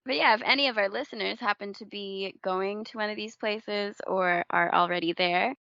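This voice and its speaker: A woman who grew up in the Philippines, co-hosting a casual news podcast, chatty and quick to laugh, stumbling over hard names. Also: mid-range voice, creaky, sharp tone, no room sound. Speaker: podcast co-host. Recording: dull lo-fi dry recording.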